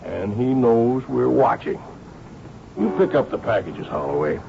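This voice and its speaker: An actor playing a seasoned, shrewd police inspector who tells his case in a measured, wry way in a radio drama. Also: medium pitch, very animated, creaky, background noise. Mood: watchful, decisive. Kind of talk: radio drama acting with first-person narration. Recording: dull old radio broadcast.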